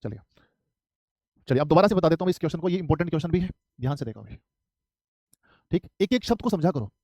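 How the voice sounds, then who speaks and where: A man's voice: on the high side, expressive, quick pace, darkish tone, no room sound, clean studio sound. A man from Haryana teaching an online math class for exam prep, often asking questions and then answering them himself. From Haryana, India. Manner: repetitive, asks and answers his own questions.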